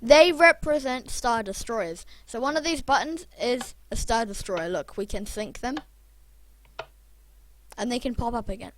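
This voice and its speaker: A young YouTuber with a croaky voice, rambling casually and chatting through a building video. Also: mid-range voice, lively intonation, a little slow, a bit bright, slight background noise. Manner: rambling, chatty, self-deprecating. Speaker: Minecraft YouTuber. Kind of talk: casual gameplay commentary.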